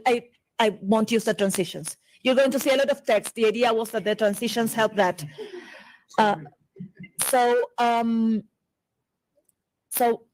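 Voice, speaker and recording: Argentine woman in her 40s, spanish is her first language, giving a conference talk in english, kinda high voice, talks fast, lots of restarts. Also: darkish tone, slight background noise, poor audio. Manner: quick, with many restarts and repeated words. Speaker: conference speaker. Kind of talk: conference lecture.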